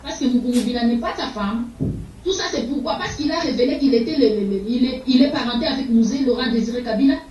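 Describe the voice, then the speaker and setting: A woman's voice: on the high side, fast talker, a little mellow, a little hiss or hum. An older woman from Congo, speaking fiercely and repetitively in an interview, sometimes stumbling over repeated words. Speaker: political activist. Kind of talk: impassioned interview answers. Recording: echoey, distant, dull sound.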